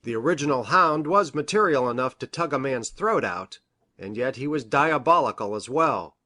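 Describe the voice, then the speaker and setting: A woman acts out a grave gentleman doctor from a detective storybook. He speaks formally and precisely, in measured sentences, with a lively rise and fall in pitch. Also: heavily acted. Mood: troubled, grave. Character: grave, hesitant male country doctor, troubled witness. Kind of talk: audiobook character reading.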